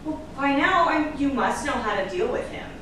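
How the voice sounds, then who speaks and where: A woman's voice: high-pitched, animated, moderate pace. A woman playing a detective's meddling wife in a staged drama reading, earnest and insistent, often hesitant. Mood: nervous, friendly. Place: echoey room at a staged reading. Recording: echoey room, distant mic, noisy background.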